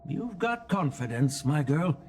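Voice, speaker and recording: Lively-voiced, courtly old general. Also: male voice, a little high, measured pace, slight background noise. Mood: genial, approving. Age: older. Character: genial, dignified old general and swordsmith elder. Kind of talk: video game voice acting. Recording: game music behind the voice.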